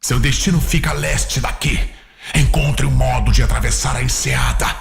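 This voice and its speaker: Gravelly Brazilian demon lord. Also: male voice, medium pitch, normal speed. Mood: forceful, commanding. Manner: commanding, terse orders. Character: commanding demon lord, cold, imperious, menacing. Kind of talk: dramatic audio-series acting. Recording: music behind the voice.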